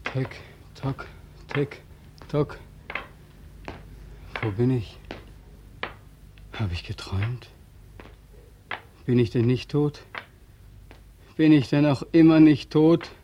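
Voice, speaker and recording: An actor playing a tormented, despairing soldier home from war in a 1940s radio drama, anguished and halting. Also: male voice, mid-range voice, highs cut off, background noise, lightly acted. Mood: dazed. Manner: anguished, halting, swinging from hush to outcry. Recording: old 1940s radio recording.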